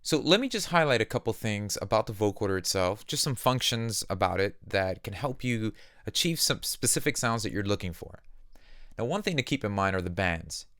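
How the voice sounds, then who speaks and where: A man giving a conversational software tutorial as a music production instructor, explaining things simply on a close microphone. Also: lowish voice, animated, a little fast.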